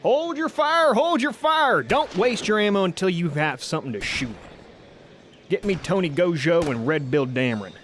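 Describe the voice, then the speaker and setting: An actor with an American accent playing a practical, commanding sheriff in a radio drama. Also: male voice, sits high, expressive, moderate pace, a bit bright, slight background noise. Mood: urgent, commanding.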